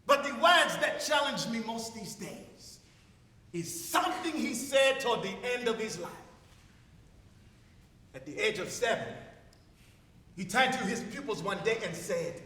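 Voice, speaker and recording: Man playing an ageing idealistic South African teacher, stage monologue, very high pitch, slow oratory, earnest, philosophical. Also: sharp tone, heavily acted. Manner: declamatory, oratorical, emphatic pauses. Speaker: drama student performing a monologue. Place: large ceremony hall stage. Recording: echoey hall, distant stage sound.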